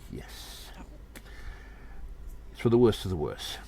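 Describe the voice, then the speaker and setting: A man playing a little gnome adventurer in an audio drama, confiding in his friends in a theatrical, halting way. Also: sits low, wildly swooping pitch, vocal fry, mellow, slight background noise, exaggerated voice. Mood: conspiratorial. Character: little male gnome adventurer, conspiratorial storyteller addressing friends. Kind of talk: scripted audio drama monologue with dialogue.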